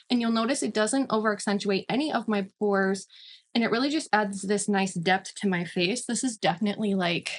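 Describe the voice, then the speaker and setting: A woman in her mid-thirties giving a casual makeup review as a beauty vlogger, chatty and emphatic, often repeating words for emphasis. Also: mid-range voice.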